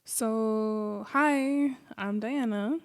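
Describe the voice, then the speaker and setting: A woman's voice: on the high side, extremely slow, clean tone. An American woman from Los Angeles hosting a podcast solo, talking in a casual, chatty and easygoing way. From Los Angeles, United States. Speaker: podcast host.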